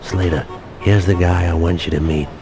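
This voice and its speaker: A man playing a gruff senior colleague in a video game, speaking in a halting, understated way. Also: sits low, fairly even intonation, over music, close to an everyday voice. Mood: measured. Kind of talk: video game cutscene acting.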